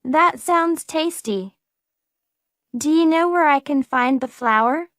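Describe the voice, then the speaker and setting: A woman reading a friendly everyday speaker in a slow, carefully spaced practice dialogue for English learners. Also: high-pitched, fairly bright tone, no echo, studio quality. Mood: plain.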